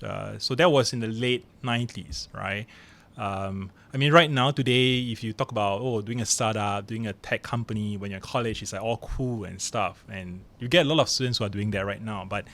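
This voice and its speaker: A Singaporean man in his forties, a professor guest telling his life story in a relaxed, self-deprecating way with many fillers. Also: lowish voice. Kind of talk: casual podcast interview.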